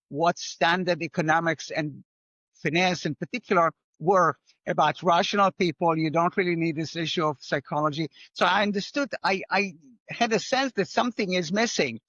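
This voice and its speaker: An older man with an Israeli accent speaks as an interview guest, a finance professor. He tells his stories in a measured, wry way, with a slightly higher voice. The recording sounds dull and very dry. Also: a bit bright, no room sound. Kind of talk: conversational interview.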